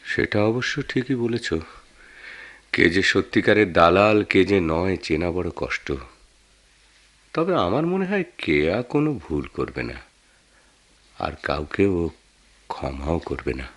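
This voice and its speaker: Casting: thoughtful Bangladeshi husband in a radio play, extremely low voice, measured, earnest, explains patiently. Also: male voice, very animated, a bit bright, exaggerated voice. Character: reassuring, thoughtful husband in a family drama. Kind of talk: Bengali radio drama acting.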